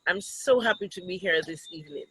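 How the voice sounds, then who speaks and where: A woman with a Jamaican accent reading a story aloud to children, expressive and teacherly, with asides to the listeners. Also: lowish voice, brisk, slightly rough, no echo, rough recording.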